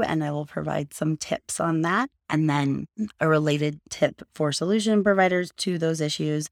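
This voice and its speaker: A sleepy, hoarse woman in her thirties hosting a podcast, rambling in a chatty way and circling back to her point. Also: low voice, dry recording, clean studio sound. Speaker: podcast host. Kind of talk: casual solo podcast talk.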